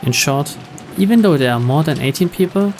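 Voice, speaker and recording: A man's voice: a little high, fairly quick. A man reading a game's text aloud as dramatic scripted narration. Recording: steady rain noise behind. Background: rain.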